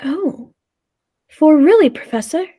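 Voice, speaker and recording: A woman performs a courteous, skeptical guest in an old-time radio play. The voice is high but mellow, with a hesitant, deferential delivery that breaks easily into exclamation. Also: expressive, takes it slowly. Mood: nervous, doubtful. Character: polite, timid, skeptical dinner guest of the professor. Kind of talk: 1940s radio play acting.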